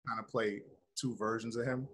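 Animated American man telling stories casually. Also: medium pitch, moderate pace, slight background noise. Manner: animated, slangy, rambling with frequent "you know" and "like". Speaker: actor being interviewed. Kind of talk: casual chat, storytelling. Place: indoor room, video call. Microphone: video call.

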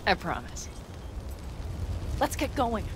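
A woman's voice: mid-range voice, very animated, slow, noisy background. A woman playing a fierce, loyal, stubborn young nomad weighed down by guilt in a video game drama. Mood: grim, resolute.

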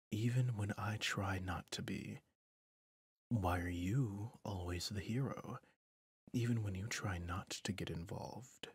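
Breathy, low-voiced weary villain, acted by a man. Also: dry recording, exaggerated voice. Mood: pensive, gentle. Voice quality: breathy, often dropping near a whisper. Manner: slow, soft, pensive, with deliberate pauses. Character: weary male villain, reflective, gentle toward his rival hero. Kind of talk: ASMR roleplay audio drama.

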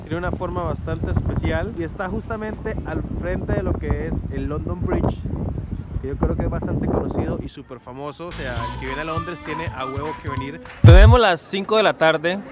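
A young man talks straight to his viewers in a chatty, easy way, holding the camera at arm's length as he walks busy city streets. His voice sits high for a man. Loud music plays under his talk. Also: moderate pace, low-quality recording. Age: young adult. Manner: chatty, addresses viewers directly. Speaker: travel vlogger. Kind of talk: casual travel vlog. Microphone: handheld camera at arm's length.

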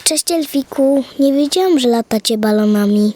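A woman's voice: high voice, expressive, moderate pace, warm tone, no room sound, voice pushed up. A woman playing a curious, friendly and bold little girl in a children's audio fairy tale, eager and full of wonder.

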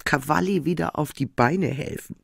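An actress playing a harried, irritable senior prosecutor in a German radio crime drama, exasperated and clipped. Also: female voice, deep voice, normal speed, creaky, no room sound, full character voice. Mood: irritated, sardonic.

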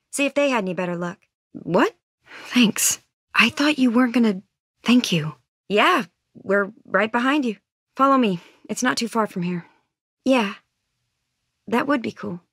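A woman's voice: on the high side, a bit bright, dry recording, clean studio sound. Earnest, anxious teenage survivor girl. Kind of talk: naturalistic video game voice acting.

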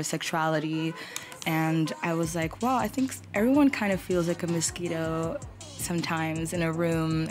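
A woman from Brazil, a Portuguese speaker, answering interview questions in a rambling way full of fillers. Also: on the low side. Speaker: musician guest.

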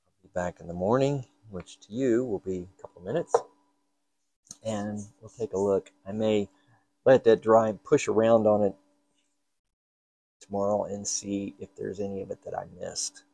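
A man's voice: on the low side, fairly even intonation, moderate pace, vocal fry, pauses often, warm tone, no room sound. A man giving casual hands-on repair narration, half talking to himself in fragments as he works in an indoor workshop. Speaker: hobbyist repair host.

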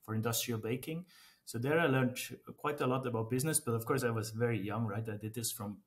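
A man's voice: medium pitch, fast talker. A man in his thirties from Switzerland, a native Swiss German speaker, talking casually as a guest on a video call. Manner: relaxed, frequent restarts and "right?" tags. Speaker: guest, freelance translator. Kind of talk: casual interview chat. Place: small indoor room. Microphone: video call webcam mic.

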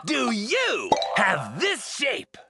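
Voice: mid-range voice, wildly swooping pitch, very slow, creaky, exaggerated voice. An actor playing a cheerful cartoon star who hosts a children's game show in a showy, encouraging way. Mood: upbeat, encouraging. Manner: showy, encouraging announcer delivery. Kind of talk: children's educational cartoon acting. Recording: music behind.

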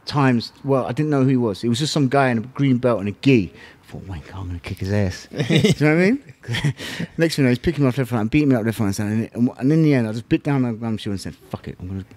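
This is a middle-aged man with a southern English accent, talking as a guest in a casual interview. He rattles along at a quick pace, full of slang and swearing. He tells his stories in an animated way, slipping into the exchanges he remembers. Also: mid-range voice, no echo. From England. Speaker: interview guest, former kickboxing champion.

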